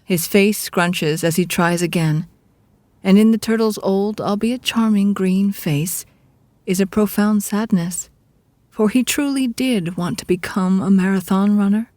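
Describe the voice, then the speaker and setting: A woman's voice: mid-range voice, unhurried, a little mellow, dry recording. A woman narrating a scripted audio drama in a mock-grand storybook manner.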